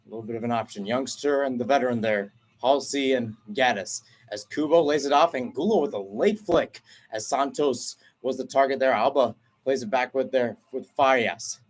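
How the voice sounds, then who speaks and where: A young man calling a soccer match play-by-play in a radio style, brisk and excitable, working remotely away from the stadium. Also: medium pitch. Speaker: play-by-play commentator. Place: remote broadcast from home setup.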